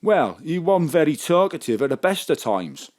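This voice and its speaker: A man's voice: on the high side, very animated, moderate pace, darkish tone. A man playing a maudlin Welsh pharmacy clerk in mourning, mournful and plain-spoken, in a comic audio drama with a Welsh accent. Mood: maudlin, grieving.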